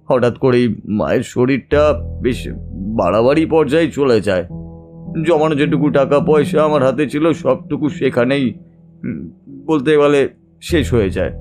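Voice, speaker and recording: A man acting a distressed, cheated family man in an audio drama, telling his troubles haltingly and seeking agreement. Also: mid-range voice, a little mellow, close to an everyday voice. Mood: sorrowful, weary. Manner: confiding, halting, seeks agreement with tag questions. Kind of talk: Bengali audio detective drama monologue.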